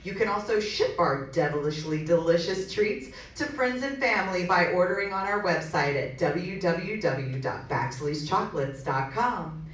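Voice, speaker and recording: A woman's voice: on the low side, animated, moderate pace, few pauses. A woman reading a playful, theatrical radio sponsor ad with tongue-in-cheek delivery. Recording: very echoey, distant, faint noise.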